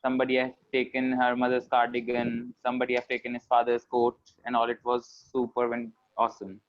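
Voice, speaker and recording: Male class participant, Indian accent, average pitch, casual comments, lo-fi video call sound. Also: monotone, fairly quick. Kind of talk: casual spoken feedback. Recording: dull lo-fi video call audio.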